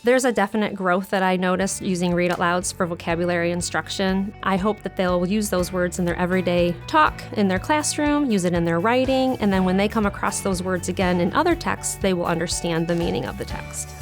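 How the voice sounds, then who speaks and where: A woman with a slightly low voice talks as a schoolteacher in a reflective interview. She explains her practice in a measured way, with faint music underneath. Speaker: elementary school teacher.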